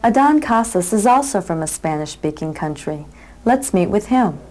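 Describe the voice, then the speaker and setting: A woman hosts an educational program, putting plain, measured questions to her guests. Faint music sits quietly underneath her. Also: medium pitch, expressive. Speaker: interviewer and presenter. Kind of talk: scripted educational interview.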